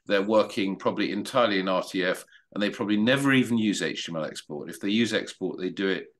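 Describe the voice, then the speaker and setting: Low-voiced man with halting restarts. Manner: hesitant, many restarts, opinionated. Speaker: meetup participant. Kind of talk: casual video call discussion. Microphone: video call.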